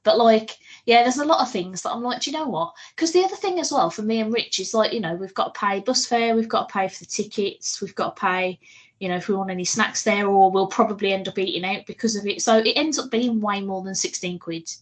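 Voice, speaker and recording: A woman's voice: medium pitch, fairly quick. Blunt, jokey British woman chatting casually. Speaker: podcast co-host. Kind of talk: casual chat about films.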